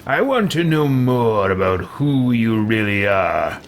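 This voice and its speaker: Man putting on a haughty, expressive adventurer. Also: fairly low pitch, slow, a little hiss or hum, full character voice. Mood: probing. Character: haughty, self-serving male adventurer, blunt and suspicious. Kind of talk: role-playing over a video game.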